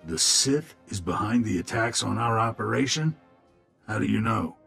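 An actor playing a shady, self-serving male criminal middleman in a video game, with a raspy, gravelly voice. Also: fairly low pitch, expressive, normal speed, a bit bright, soft background music, heavily acted. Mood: wry.

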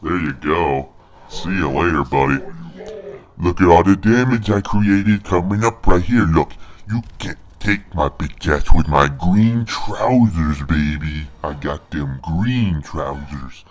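A man's voice: deep voice, takes it slowly. A man doing snarky gameplay commentary as a boastful, crude monster brute. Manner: cocky, crude trash talk. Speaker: gaming video host. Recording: home recording with noisy game sound. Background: fighting game sound effects.

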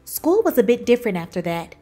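A woman reading a children's book aloud in expressive storybook narration. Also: a little high, fast talker, soft background music. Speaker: children's book reader, channel host.

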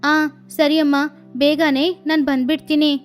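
A woman's voice: high voice, level tone, a little slow, faint music behind. A woman voicing an obliging female duck cook who talks politely and reassuringly. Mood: eager.

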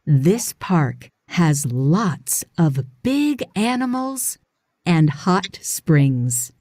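Very slow, expressive woman narrator. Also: lowish voice, dry recording, studio quality. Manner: deliberate, expressive phrasing for young readers. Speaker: audiobook narrator. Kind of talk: scripted children's read-aloud narration.

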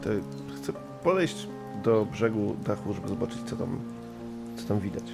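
A man's voice: fairly low pitch, big pitch swings, normal speed, gravelly, loud music behind the voice. A man playing a tabletop role-playing game, talking casually as his character.

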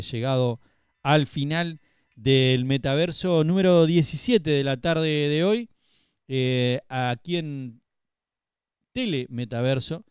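A man's voice: mid-range voice, smooth, no room sound, studio quality. Uruguayan man hosting radio chat. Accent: Uruguayan Spanish. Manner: chatty, teasing, quick interjections. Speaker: co-host. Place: small radio studio. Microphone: studio mic on boom arm, headphones.

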